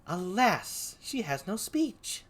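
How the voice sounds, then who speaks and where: A performer reading a gentle, spirited young noblewoman with drawn-out, theatrical Shakespearean delivery. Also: mid-range voice, very animated, voice pushed up. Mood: pitying. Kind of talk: amateur Shakespeare read-aloud.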